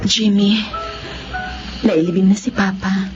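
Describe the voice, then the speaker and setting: An actress playing a caring, reassuring young elder sister in a radio drama. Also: female voice, medium pitch, fairly even intonation, slow pace, close to an everyday voice. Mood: tender, reassuring. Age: young adult. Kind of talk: Tagalog radio drama acting. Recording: muffled radio drama, faint music behind.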